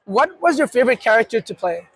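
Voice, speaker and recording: Man, interviewer, high voice, halting, fan-style questions. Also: big pitch swings, gravelly, breathy edge. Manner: halting, many fillers and restarts. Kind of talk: casual convention interview questions.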